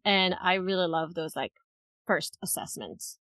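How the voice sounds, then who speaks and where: A woman's voice: fairly low pitch, lively intonation, measured pace, a bit bright, no room sound, clean studio sound. A woman from France, giving thoughtful, explanatory answers as an interview guest in a conversational way. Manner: thoughtful, explanatory, often checks with "right?" and "you know". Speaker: interview guest, head of people at a tech company.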